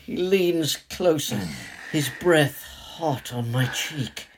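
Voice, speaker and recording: An actor playing an anxious man who narrates his own ordeal in a slow, descriptive literary audio story, with a husky voice. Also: on the high side, slight background noise. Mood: uneasy. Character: anxious male former game show host, narrating his own ordeal.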